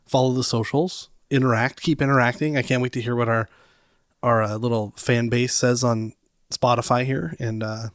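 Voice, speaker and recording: A man's voice: medium pitch, steady tone, normal speed, dry recording, studio quality. Easygoing, slightly stuffy man co-host. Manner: easygoing, joking, filler-heavy. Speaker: podcast co-host. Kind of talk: casual chat.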